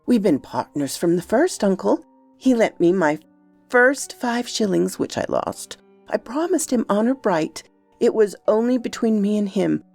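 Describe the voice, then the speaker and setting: A woman's voice: medium pitch, animated, unhurried, gravelly, a little mellow, faint music behind. A woman voicing a grave, polite young boy who speaks earnestly and insistently in a storybook reading. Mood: pleading, earnest. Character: earnest, secretive young boy, gravely confident about betting.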